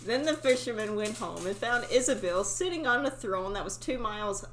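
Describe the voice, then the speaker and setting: A woman's voice: medium pitch, expressive, moderate pace. A woman reading a fairy tale aloud, casually, with sweary asides of her own. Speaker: story reader. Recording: faint background noise.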